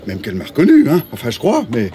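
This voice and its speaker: A male actor playing a worn, middle-aged homeless man haunted by lost love, colloquial and gruff, in a naturalistic radio drama. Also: lowish voice, lively intonation, moderate pace, slight background noise, natural voice. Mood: agitated, breathless. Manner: colloquial, gruff, emotionally volatile.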